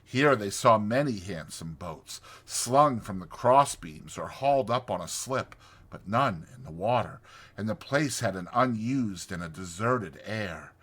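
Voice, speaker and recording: A man's voice: lowish voice, expressive, measured pace, a bit bright, breathy edge. A man narrating a classic storybook as a dramatized audiobook reading.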